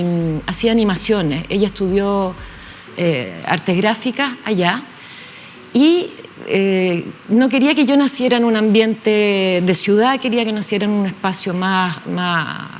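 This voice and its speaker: A woman in her fifties from Chile, a guest in a studio interview, speaking thoughtfully with many pauses and restarts. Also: mid-range voice, a little hiss or hum. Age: 50s. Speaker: guest, actress. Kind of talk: relaxed interview conversation.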